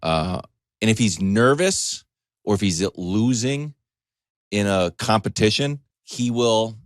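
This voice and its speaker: Deep-voiced man telling a casual story. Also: slow, no echo, clean studio sound. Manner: animated, acts out quotes in dialogue. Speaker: sports talk show host.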